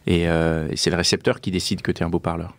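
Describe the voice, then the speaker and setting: A man co-hosting a casual talk, speaking reflectively and haltingly as he searches for his words. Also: deep voice, level tone, no room sound. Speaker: podcast co-host.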